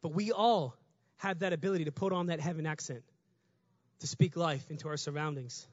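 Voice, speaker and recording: A man preaching a lively, conversational sermon, talking fast and rising to shouts at the climax. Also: a little high, no room sound, lo-fi sound.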